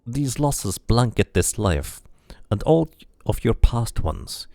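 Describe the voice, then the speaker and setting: A man voicing a solemn male dabus tattooist who speaks in slow, cryptic pronouncements. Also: medium pitch, sing-song, vocal fry, a little mellow, no echo, full character voice.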